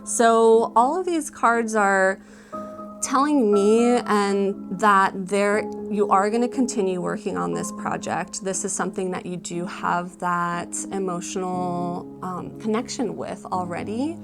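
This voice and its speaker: A woman's voice: mid-range voice, unhurried. A woman giving a casual tarot reading, explaining the cards in a conversational way with lots of filler words. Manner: conversational, explanatory, often hedging with "like" and "you know". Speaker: tarot card reader. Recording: music playing behind the voice.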